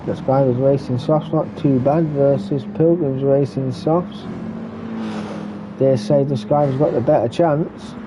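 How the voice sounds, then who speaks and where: A man giving relaxed running commentary on a sim race, stuffy and muffled from a cold. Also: mid-range voice, a little slow. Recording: muffled lo-fi recording with background noise.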